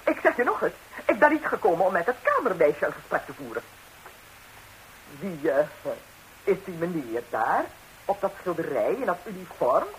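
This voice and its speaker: A woman's voice: fairly low pitch, very animated, moderate pace, rough recording. An actress playing a nervous, nosy married lady in a radio comedy, talkative and stammering as she repeats herself. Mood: indignant, then chatty. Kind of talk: 1960s radio comedy acting in Dutch. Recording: hissy 1960s radio recording.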